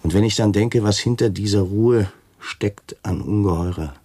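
A self-important camp commandant in a radio drama. The voice is low and husky, recorded very dry. He speaks solemnly and stiffly, with wary hesitation. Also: normal speed, dark tone, no room sound. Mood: solemn, pompous. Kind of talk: German radio play acting.

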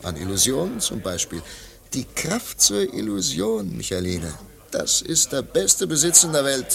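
A middle-aged man broods as a disillusioned, nostalgic artist in a radio play. His voice sits at a medium pitch and swings expressively, moving between halting reflection and theatrical emphasis. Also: huge pitch swings, vocal fry, a bit bright, heavily acted. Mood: bitter-jovial, agitated. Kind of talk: German radio play acting.

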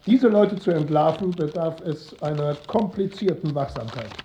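Muffled, echoing actor as stern party ideologue. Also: male voice, fairly high pitch, animated, normal speed, mellow, lightly acted. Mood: stern, accusatory. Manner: didactic, accusatory. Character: stern Soviet party ideologue denouncing hidden enemies. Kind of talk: German radio play, political speech. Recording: muffled, noisy, echoing archive-like sound.

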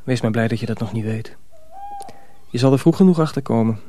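An actor playing a wary, troubled young miller's apprentice in a radio drama, questioning and guarded, with a soft, husky voice. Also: male voice, mid-range voice, a little fast, a little hiss or hum, natural voice. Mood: guarded, anxious.

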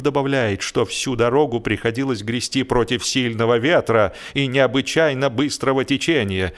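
A man narrating humorous fiction expressively, with a wry, theatrical delivery. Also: medium pitch. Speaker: audiobook narrator.

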